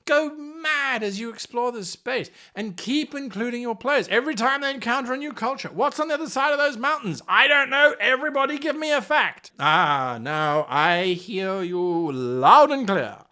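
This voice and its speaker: A man's voice: very high-pitched, lively intonation, moderate pace, hardly any pauses, bright, forceful tone. A man hosting a tabletop role-playing lesson, giving game master advice in a theatrical, exaggerated manner. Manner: theatrical, exaggerated, acts out mock dialogue.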